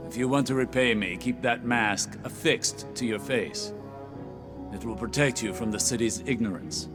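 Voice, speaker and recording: A man's voice: mid-range voice, music in the background, lightly acted. Man as an austere, solemn veteran mentor. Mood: solemn, guiding. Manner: solemn, deliberate. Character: austere male veteran mentor, wise and commanding. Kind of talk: dramatic game cutscene acting.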